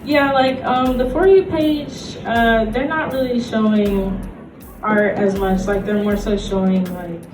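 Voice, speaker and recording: A woman's voice: a little high, steady tone, unhurried. A woman presenting informally as a convention panelist, with a hesitant, conversational manner full of "like". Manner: hesitant, conversational, says "like" often. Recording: echoey panel room, distant microphone, music.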